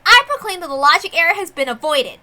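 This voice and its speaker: A woman's voice: extremely high pitch, sing-song, fast talker, bright, forceful tone, a little hiss or hum, voice pushed up, exaggerated voice. A woman voicing a grand, imperious witch who presides over a duel, proclaiming pompously in a visual novel reading. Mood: solemn proclaiming.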